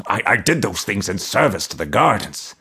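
A faithful dead gardener , as a male narrator puts him on, who answers in a low, hesitant voice and halts over his words. He sounds dutiful and unsure. It belongs in a dark spoken-word fantasy story. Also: big pitch swings, slightly rough, exaggerated voice. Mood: uneasy. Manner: hesitant, halting.

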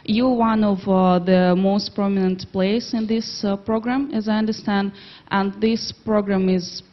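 A woman's voice: mid-range voice, steady tone, measured pace, lo-fi sound. A young woman asking a question from the audience in a lecture hall, hesitant and full of fillers. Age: young adult. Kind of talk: question from the floor.

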